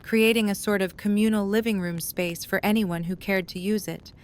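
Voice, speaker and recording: Mid-pitched woman narrating a story. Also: steady tone, normal speed, clean tone.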